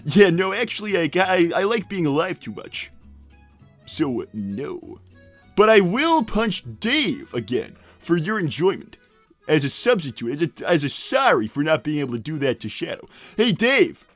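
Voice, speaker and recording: A man voicing a brash middle-aged hedgehog dad in improvised livestream comedy, rambling and blunt, with halting tangents. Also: fairly high pitch, sing-song, soft background music. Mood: cocky, blunt. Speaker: character host reading viewer messages.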